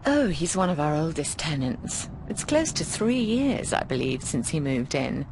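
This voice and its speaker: Casting: middle-aged British landlady, fond and cheeky, animated delivery, game dialogue over noisy ambience. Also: low-pitched, unhurried, exaggerated voice. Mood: fond, teasing. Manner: affectionate, frank, cheeky, often calls people darling. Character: warm, bawdy middle-aged British landlady of a boarding house. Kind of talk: video game voice acting.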